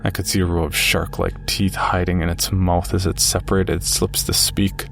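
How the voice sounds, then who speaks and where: A deep-voiced horror narrator, tells his own frightening story in a measured, grave way. His delivery is very even and gravelly, with music underneath. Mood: grave, uneasy. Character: man recounting a frightening childhood encounter with a monster. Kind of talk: scripted first-person horror narration.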